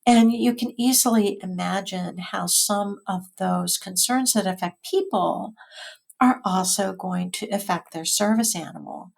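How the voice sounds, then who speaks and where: A woman's voice: medium pitch, unhurried, vocal fry, darkish tone. American woman explaining as an expert guest. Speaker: expert guest, physician and health policy researcher. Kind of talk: explanatory interview answers.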